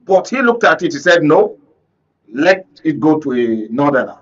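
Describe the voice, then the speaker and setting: A Nigerian man with a fairly high voice speaks as a commentator on a political panel. He is emphatic and rhetorical, punctuating his points with questions to his listeners. The broadcast sound is a little dull and lo-fi. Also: big pitch swings, moderate pace. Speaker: panel guest, conflict reporter. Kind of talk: political commentary on a talk show. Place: radio and TV studio discussion.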